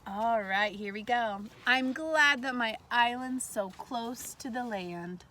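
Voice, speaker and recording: A woman with a fairly high voice speaks as an elementary teacher in a read-aloud lesson. She addresses children directly, in simple explanatory phrasing. There is faint noise behind her. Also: fairly bright tone.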